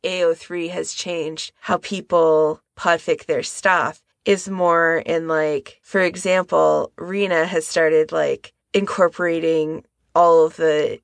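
A woman co-hosting a podcast in a casual discussion, with a creaky voice. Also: deep voice, level tone, slow, few pauses, no echo. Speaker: podcast co-host.

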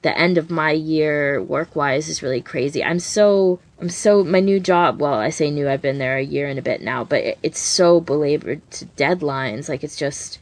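A woman with a low-pitched voice talks casually as a podcast co-host. She rambles conversationally, looping through asides and filler words such as "like" and "you know". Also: normal speed, few pauses. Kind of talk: casual chat.

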